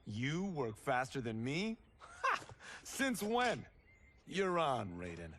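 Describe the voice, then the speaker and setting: An actor playing a restless, boastful young farmer hungry for glory in a video game. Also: male voice, medium pitch, huge pitch swings, slow, very noisy. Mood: cocky. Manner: spirited, boastful. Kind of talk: cinematic video game acting.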